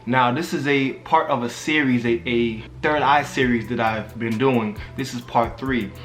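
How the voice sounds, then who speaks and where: A man of ordinary pitch talks straight to his viewers in loose, casual slang, filling his talk with checks like "you feel me". He sounds laid back and confident as he hypes his followers. Faint music plays softly behind him. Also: steady tone, a little slow. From Haitian background. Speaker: spiritual vlogger. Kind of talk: casual vlog monologue.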